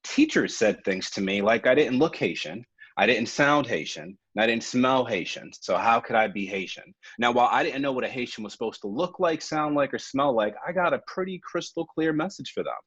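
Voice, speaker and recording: A man's voice: mid-range voice, animated, a little fast, fairly bright tone, slightly rough, dry recording. A man in his forties giving an earnest, reflective webinar talk about his own life, with frequent um fillers. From United States. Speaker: webinar presenter. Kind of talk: personal storytelling presentation. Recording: dull, lo-fi webinar audio.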